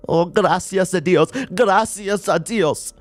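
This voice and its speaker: A man's voice: high voice, slow pace, dry recording, voice pushed up. A man voicing a distraught, thankful old woman, tearful and faltering, in an audiobook. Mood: desperate relief.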